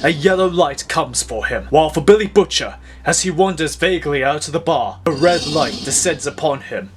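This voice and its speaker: A man with a fairly high voice narrates a superhero audio drama. His delivery is scripted and dramatic, describing action over a clear music bed. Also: expressive, normal speed.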